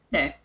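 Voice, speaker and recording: A woman's voice: dull audio, a little hiss or hum. Woman chatting casually on a livestream. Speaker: livestream host.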